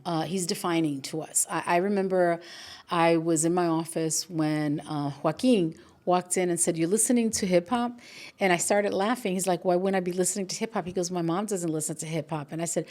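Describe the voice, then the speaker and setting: A middle-aged woman, talking thoughtfully and deliberately in a conversational interview, stressing key words. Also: on the low side, darkish tone. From New York City. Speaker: podcast host and activist being interviewed.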